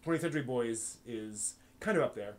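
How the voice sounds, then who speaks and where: A man hosting a casual live stream who thinks aloud and rambles, with many pauses, ums and restarts. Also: medium pitch, animated. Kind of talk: casual live-stream chat answering chat questions.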